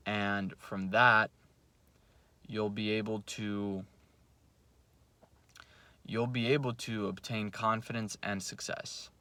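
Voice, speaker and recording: A young man whose first language is Russian gives a blunt, cursing pep talk to himself and his viewers. His voice sits on the low side, and the sound is dry and close with no room around it. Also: fairly bright tone, no echo. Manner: blunt, profane, self-coaching. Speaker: vlogger. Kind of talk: casual motivational monologue. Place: home, at night before bed.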